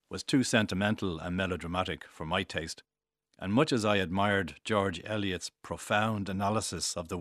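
A man's voice: low voice, a bit bright, dry recording, studio quality. A man from Ireland reading his own literary essay aloud in a wry, composed manner.

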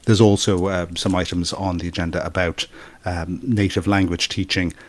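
A man's voice: low-pitched, flat delivery, normal speed. A man reporting as a correspondent, explaining with many hesitations. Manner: hesitant, filler-heavy, explanatory. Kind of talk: radio news report.

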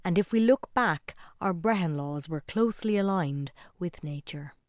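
A woman's voice: lowish voice, expressive, normal speed, darkish tone, no echo. A woman with an Irish accent presenting radio links in a dry, wry way.

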